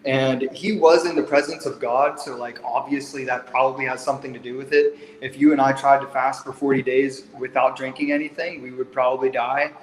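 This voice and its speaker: A man's voice: medium pitch, flat delivery, brisk, few pauses, a little hiss or hum, low-quality recording. A man in his late twenties talking casually and earnestly in a livestream conversation. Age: late 20s. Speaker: fitness guest.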